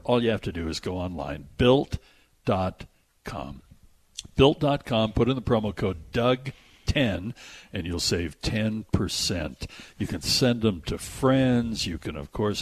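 A man's voice: on the low side, slightly rough, no room sound. A man hosting a radio show with casual, chatty enthusiasm, repeating phrases for emphasis.

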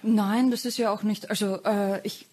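A woman makes her points as a guest on a talk show panel. She speaks in a measured, articulate way and sometimes pauses on a filler while she thinks. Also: medium pitch, steady tone, darkish tone.

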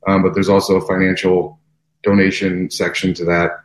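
A very low-voiced man speaks in a steady, flat delivery with little rise and fall. The room around him is noticeably echoey. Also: normal speed. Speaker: wedding venue co-owner, guest. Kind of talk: interview talk.

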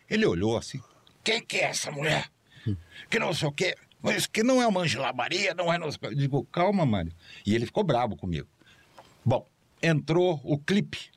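A man's voice: on the high side, no room sound. A man, a former juror and producer, telling an anecdote and acting out the quoted dialogue. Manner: animated, acts out quoted dialogue. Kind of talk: anecdotal recollection.

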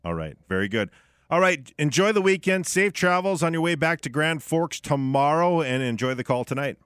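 A man's voice: a little high, lively intonation, moderate pace, fairly bright tone, smooth, no echo, clean studio sound. A man co-hosting a live sports talk radio show, chatting in a rambling, conversational way. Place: radio studio.

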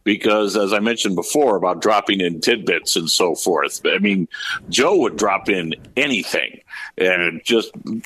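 A man in his late fifties, a sports broadcaster, reminiscing agreeably as an interview guest in a measured way. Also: sits low, fairly bright tone, a little hiss or hum, rough recording. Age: late 50s. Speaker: podcast guest, professional basketball play-by-play broadcaster. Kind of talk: reflective interview conversation.

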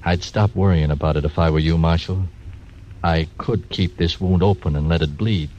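An actor playing a blunt, fearless gambler, in 1940s radio acting. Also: male voice, low-pitched, steady tone, normal speed, darkish tone, lightly acted. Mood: menacing. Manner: menacing, blunt. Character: hard, fearless gambler and croupier. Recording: dull old radio recording, faint hiss.

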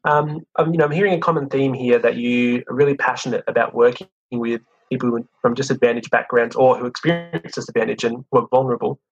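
A friendly male interviewer asks long, rambling questions with plenty of fillers. His voice is gravelly and a touch husky, and the recording sounds slightly muffled. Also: mid-range voice, fairly even intonation, fast talker, hardly any pauses.

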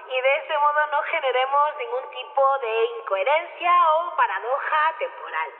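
A woman playing an earnest festival official in an audio drama, giving a formal, halting public speech in a Spain Spanish accent. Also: phone-quality sound. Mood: solemn, grateful. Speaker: festival committee speaker. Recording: booming long reverb, thin PA-like sound.

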